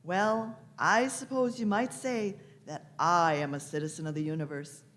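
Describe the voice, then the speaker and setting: A woman's voice: fairly low pitch, steady tone, normal speed, a bit bright, natural voice. A woman playing an eccentric, merry old lady time traveller in a radio play, with grand old-fashioned phrasing and repeated words. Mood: amused, lofty. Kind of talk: live radio-play acting.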